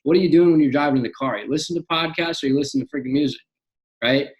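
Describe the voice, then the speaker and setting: A young man in his twenties, a podcast guest talking in an energetic casual way, often repeating and restarting words. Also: a little high, rapid-fire, muffled recording. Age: young adult. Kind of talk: casual interview chat.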